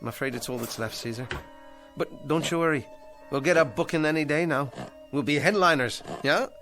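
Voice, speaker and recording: A man's voice: a little high, brisk, fairly bright tone, soft background music. An actor playing a hesitant, eager-to-please male ventriloquist down on his luck, stammering and placating in a radio drama. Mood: anxious cheer.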